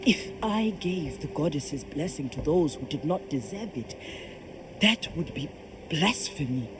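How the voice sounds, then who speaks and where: A woman's voice: deep voice, animated, a little slow, noisy background. A woman playing a proud, commanding and devout kraken priestess in a video game, solemn and declamatory. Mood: troubled. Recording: music behind the voice.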